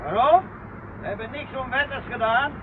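An actor playing a shifty, defiant small-time crook in an old radio drama. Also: male voice, very high voice, normal speed. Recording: muffled narrow-band old radio recording, faint noise.

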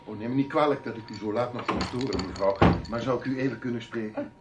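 A man's voice: mid-range voice, quick pace, natural voice. A man playing an apologetic, deferential chauffeur in a Dutch radio play, on an old noisy recording.

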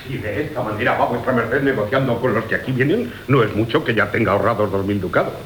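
Un actor de acento castellano interpreta a un compañero gruñón y consentidor de una pícara, en teatro cómico radiofónico, con tono socarrón. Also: male voice, mid-range voice, fast talker, fairly bright tone, lightly acted, poor audio. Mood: wry, grumbling. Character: grumbling, complaisant partner of a scheming coquette. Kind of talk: classic Spanish comic radio theatre. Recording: hissy 1980 radio drama recording.

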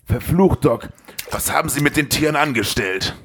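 A man's voice: mid-range voice, expressive, moderate pace, a bit bright, a little hiss or hum. A man voicing an indignant investor who demands answers, in an amateur audio drama. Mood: accusing. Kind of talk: amateur audio drama re-enactment of film dialogue.

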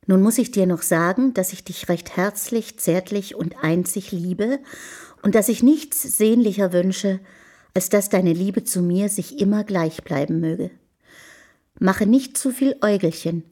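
Woman acting proud middle-aged period wife, medium pitch, formal diction, measured, self-possessed, radio play. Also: warm tone, close to an everyday voice. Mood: tender, wistful. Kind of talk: German radio play dialogue.

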